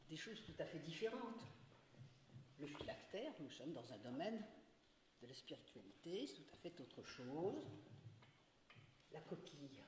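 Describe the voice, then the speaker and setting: A woman giving a formal welcome address as the academy's secretary, slow and halting as she weighs her words. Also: low-pitched. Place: large ceremonial hall. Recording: echoey hall with faint noise.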